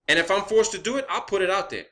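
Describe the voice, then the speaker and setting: Guy with a high sharp voice ranting on his channel, swears a lot, punchy and combative, says stuff twice to drive it in. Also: expressive, quick pace. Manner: profane, emphatic, combative; repeats phrases for emphasis. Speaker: video creator. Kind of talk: impassioned rant to online viewers.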